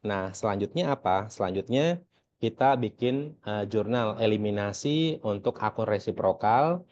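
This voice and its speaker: A man's voice: on the low side, expressive, moderate pace, a little mellow, slight background noise. An Indonesian man lecturing conversationally through a worked exercise, often asking questions and answering them himself. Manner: conversational, asks and answers own questions, frequent check-in tags. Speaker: accounting lecturer. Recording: dull, lo-fi voice recording.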